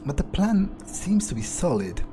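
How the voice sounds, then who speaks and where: A man's voice: high voice, sing-song, normal speed, noisy background. A man thinking aloud in character as a brooding, grumbling vampire during a game playthrough, hesitant and prone to swearing. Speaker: let's player.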